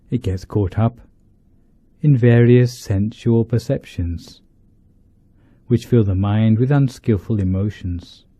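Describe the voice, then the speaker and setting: Low, very mellow man guiding meditation. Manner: measured, deliberate short phrases with pauses. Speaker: Buddhist monk leading guided meditation. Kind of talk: guided meditation instruction. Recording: dull lo-fi, roomy echo.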